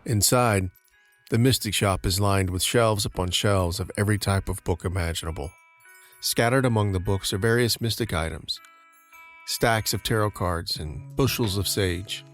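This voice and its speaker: Low male narrator reading scripted scenes for an audio drama, soft music behind. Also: moderate pace.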